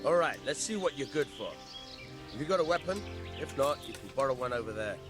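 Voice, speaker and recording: Actor as a swaggering, animated combat master. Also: male voice, medium pitch, fairly quick, over music, lightly acted. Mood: gruff mockery. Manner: jocular, blunt, sardonic. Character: gruff, mocking hard-drinking combat master. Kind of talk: dramatic video game acting.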